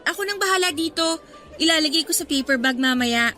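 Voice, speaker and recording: An actress playing a good-natured young college student in a radio drama, bantering in an expressive, lighthearted way. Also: female voice, sits high, fairly quick, soft background music, some chatter behind. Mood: mild, accommodating. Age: young adult.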